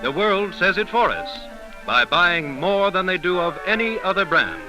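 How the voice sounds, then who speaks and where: Man, vintage radio announcer, lively intonation, scripted sales delivery, music behind. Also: sits high, normal speed, low-quality recording. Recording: vintage 1930s radio recording.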